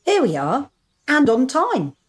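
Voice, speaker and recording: A sharp-tongued, grandiose woman in a radio comedy gang. Her pitch runs fairly high with dramatic swoops, every line withering and superior. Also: huge pitch swings, a little slow, heavily acted. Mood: haughty, withering. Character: haughty, sharp-tongued female gang member.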